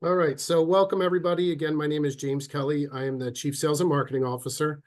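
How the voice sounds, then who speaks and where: A man with a head cold and a slightly stuffy voice, giving a relaxed, chatty online presentation with frequent ums and restarts over a computer mic. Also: fairly high pitch, fast talker. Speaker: sales and marketing officer presenting a webinar. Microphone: computer mic on a video call.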